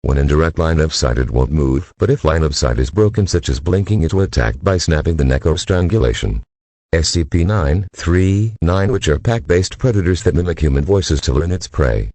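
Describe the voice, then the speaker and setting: A man with an extremely deep voice narrates a scripted emergency alert. His delivery is formal and stiff, with a husky edge and a dry, close sound. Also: expressive, normal speed, a little mellow, no room sound.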